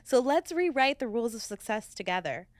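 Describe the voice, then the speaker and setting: A bubbly woman hosts a podcast in easy, casual chat, laughing often as she gushes. Her voice is smooth and clear, recorded close and dry with no room sound. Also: fairly high pitch, sing-song, fairly quick, dry recording. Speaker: podcast host.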